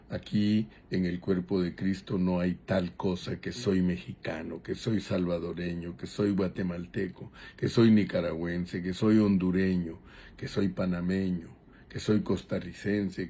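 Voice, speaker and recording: A man preaching a Bible teaching sermon in a deliberate, measured way, pausing between short phrases. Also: sits low, dark tone, husky.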